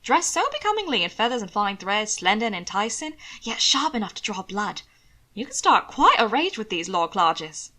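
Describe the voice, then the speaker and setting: A woman voicing a gracious, admiring society lady with a smooth, appreciative manner. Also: medium pitch, big pitch swings, moderate pace, sharp tone.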